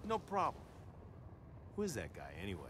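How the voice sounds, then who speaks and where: An actor playing a cocky, flippant action star in a fighting game, wisecracking with an American accent. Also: male voice, medium pitch, huge pitch swings, brisk, background noise. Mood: casual curiosity. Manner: brash, wisecracking.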